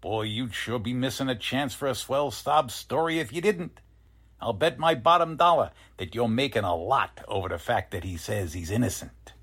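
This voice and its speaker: Man acting a cocky, husky-voiced wisecracking newsman. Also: mid-range voice, normal speed, fairly bright tone. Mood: cynical, insistent. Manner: teasing, streetwise slangy speech. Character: cocky wisecracking newspaper photographer, cynical and slangy. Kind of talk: 1940s radio drama acting.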